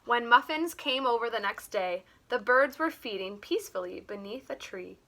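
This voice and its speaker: A woman reading a children's picture book aloud like a teacher, in an expressive, deliberate way. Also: fairly high pitch, creaky. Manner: animated, deliberate.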